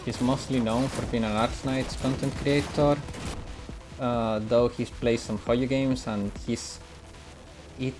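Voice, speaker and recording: A man's voice: medium pitch, fairly even intonation, takes it slowly. A man from Italy with a mixed British and American English accent, chatting casually on a live gaming stream and answering viewers' questions as he plays. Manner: chatty, answers chat while playing.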